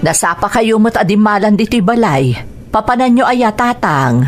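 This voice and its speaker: A woman with a Filipino accent acting a gentle, respectful village mother and daughter in an audio drama. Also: mid-range voice, animated, moderate pace, faint music behind, lightly acted. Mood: gentle, concerned. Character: caring grown daughter and mother of a village family.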